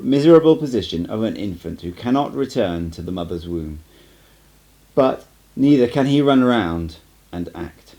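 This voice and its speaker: A man voicing a stern, cryptic old sorcerer teacher in an audiobook, lecturing in a measured, emphatic way. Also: fairly low pitch, mellow. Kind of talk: amateur audiobook character reading.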